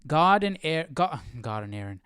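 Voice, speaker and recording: A man's voice: a little high, big pitch swings, normal speed, a bit bright, clean tone, no room sound, clean studio sound. A man hosting a Bible study livestream, casually thinking aloud and reading verses in a questioning, informal way.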